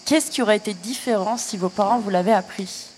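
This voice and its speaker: A woman's voice: medium pitch, a little fast, a little mellow, a little hiss or hum, rough recording. A woman interviewing guests, asking questions and responding encouragingly.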